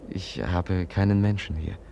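Radio play: frail homecoming prince, low, polite, hesitant, earnest; noisy ambient recording. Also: male voice, steady tone, a little slow, gravelly, dark tone, muffled recording. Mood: reflective, hesitant. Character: gentle, sickly prince returning home from abroad. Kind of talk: German radio drama acting.

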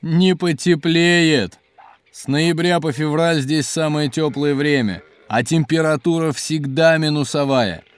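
Male actor as hospitable geologist-cook, higher-pitched man's voice, animated, hearty, educational children's radio play. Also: measured pace, clean tone, no echo, natural voice. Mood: rueful. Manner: animated, chuckling, explanatory, hearty. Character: hospitable, jolly male polar geologist doubling as station cook. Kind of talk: children's educational audio drama.

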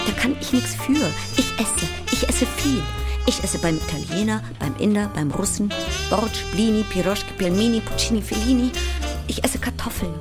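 A woman's voice: mid-range voice, expressive, normal speed, loud music behind the voice, lightly acted. An actress playing a frazzled, feisty small woman in a theatrical stage monologue about her childhood. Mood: defensive, wry. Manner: theatrical, crisply alliterative, swinging between whisper and declamation. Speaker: stage actress performing a solo show. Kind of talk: theatrical monologue with bursts of song and wordplay.

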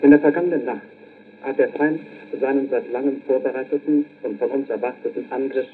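A man's voice: mid-range voice, steady tone, a little fast, lightly acted. A man as a wartime radio newsreader, making formal, solemn announcements. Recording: tinny, noisy 1940s radio archive.

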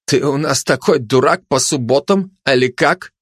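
A man's voice: medium pitch, fairly bright tone, breathy edge, no room sound, studio quality. Man as a haughty, menacing tough dog. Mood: mocking. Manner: haughty, sneering, drawn-out threats and pompous declarations.

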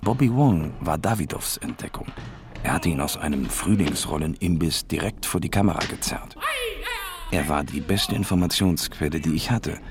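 An actor playing a world-weary, broke private detective, narrating a noir radio drama in a laconic, wry way. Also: male voice, rumbling low, lively intonation, normal speed.

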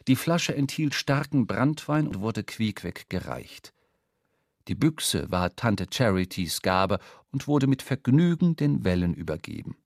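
A man's voice: medium pitch, vocal fry, no room sound. Male radio-drama narrator, close and dry. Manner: expressive storyteller.

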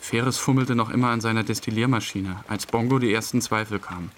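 A deep-toned man played by an actor narrates his grief in a radio drama. He is understated and hesitant, speaking in short, plain sentences over clear ambient noise. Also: steady tone, a little fast, lightly acted. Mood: reflective, subdued. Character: subdued, hesitant grieving man recalling a friend's burial. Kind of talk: interview-like dramatic monologue, past-tense recollection. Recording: faint rain and ambience noise.

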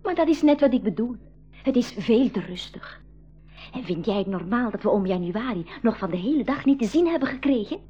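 A woman's voice: fairly high pitch, animated, fairly quick, mellow, slightly rough, faint music behind. A woman with a Flemish accent playing a bold, suspicious seventeen-year-old sister in a 1980s school radio play, in an insistent, conspiratorial manner. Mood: secretive, suspicious. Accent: Belgian Dutch (Flemish). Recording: dull lo-fi 1980s radio recording.

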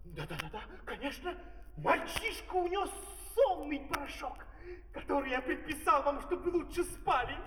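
Fussy excitable high-voiced doctor. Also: male voice, moderate pace, full character voice. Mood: flustered, eager. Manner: fussy, exclamatory, flustered. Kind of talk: comic fairy-tale opera acting. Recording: echoey, distant, noisy crackling old record.

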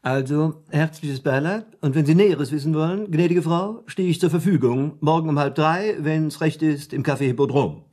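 An actor playing an eager storyteller in a German radio play, spinning out a crime plot with theatrical suspense. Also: male voice, fairly high pitch, normal speed, few pauses, a little mellow, close to an everyday voice. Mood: relishing, scheming. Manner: theatrical, suspenseful, acts out lines.

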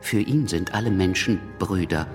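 An actor playing an eloquent, ironic writer of the French Revolution, narrating in a German radio drama. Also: male voice, sits low, normal speed, long reverb tail, music in the background, natural voice. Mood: wry, expository.

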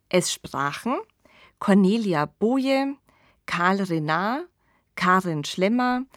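A woman podcast host with a slightly low voice discusses things in a conversational way. The sound is very dry and close, without room reflections. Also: fairly bright tone, no room sound.

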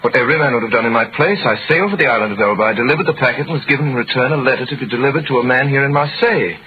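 A man's voice: mid-range voice, rapid-fire, dull audio, close to an everyday voice. A man playing an earnest young sailor in solemn, theatrical 1930s radio drama style. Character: earnest young sailor, nineteen, recounting his own story. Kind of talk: 1930s radio acting, dramatic first-person narration. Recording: thin noisy vintage radio recording.

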